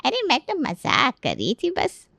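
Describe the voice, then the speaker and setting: A woman acts a pampered grown daughter in a storybook family drama. She is teasing and demanding, with a high voice in a dry recording. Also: huge pitch swings, a little slow, vocal fry, sharp tone, dry recording, heavily acted. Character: pampered teasing married daughter visiting home.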